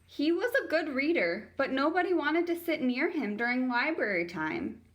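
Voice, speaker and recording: A woman's voice: high voice, very animated, normal speed. A woman reading a children's story aloud as a teacher would, narrating expressively for young listeners.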